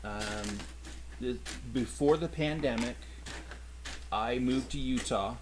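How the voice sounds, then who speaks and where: A man's voice: medium pitch, slow pace, background noise. A middle-aged man hosting a toy building stream, chatting casually with viewers, rambling and correcting himself as he goes. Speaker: toy channel host. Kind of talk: casual live-stream chat while building. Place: home, rural.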